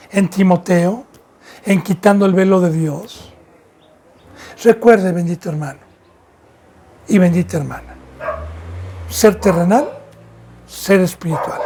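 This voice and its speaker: A man from Mexico preaching a fervent sermon as pastor, swinging between loud and soft and sometimes stumbling over his words. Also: high-pitched, background noise.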